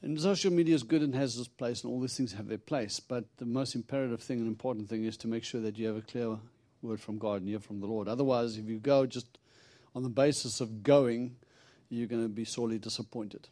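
Middle-aged South African-born preacher, Texan accent, humorous storytelling sermon, dry close sound. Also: male voice, medium pitch, brisk, hardly any pauses, dark tone, dry recording. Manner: chatty and humorous, acting out dialogue with God. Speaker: visiting preacher. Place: church.

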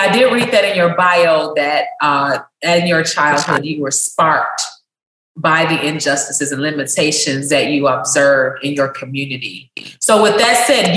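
A woman hosting a podcast, warmly effusive as she introduces and questions her guest. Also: low voice. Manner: upbeat, effusive welcomes. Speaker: podcast host. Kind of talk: podcast interview. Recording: roomy echo.

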